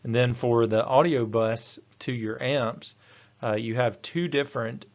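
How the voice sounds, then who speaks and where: A man's voice: medium pitch, steady tone, unhurried, dull audio. A man giving a matter-of-fact, methodical technical lecture as a training instructor. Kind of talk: slide-based technical lecture.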